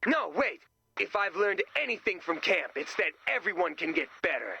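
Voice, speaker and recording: An actor playing an excitable, self-justifying goofy man in a video game, with a whiny, dramatic manner. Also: high voice, moderate pace, creaky, breathy edge, full character voice. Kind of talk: scripted video game voice acting.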